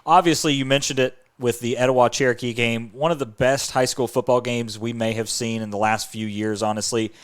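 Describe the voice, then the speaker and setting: A man's voice: mid-range voice, clear, resonant tone. A man hosting a sports podcast in an upbeat, chatty presenting style.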